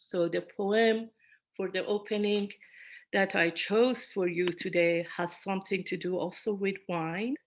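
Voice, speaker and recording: A woman giving a host's welcome and reading poetry in measured phrases with pauses between them. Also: medium pitch, fairly even intonation. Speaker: event host, school founder and director.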